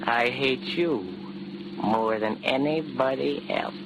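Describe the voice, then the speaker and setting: A man's voice: medium pitch, takes it slowly, creaky. An actor playing a drunken, petulant rich young man with a slurred, rambling drawl in a 1940s radio drama. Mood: maudlin. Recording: noisy old 1940s radio recording.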